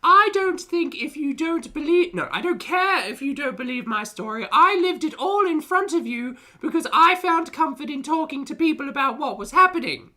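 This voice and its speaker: A woman's voice: sits high, animated, normal speed, few pauses, bright, forceful tone, voice pushed up. A woman voicing an indignant, self-righteous female vlogger in a declamatory, mock dramatic reading. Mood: wounded, defiant.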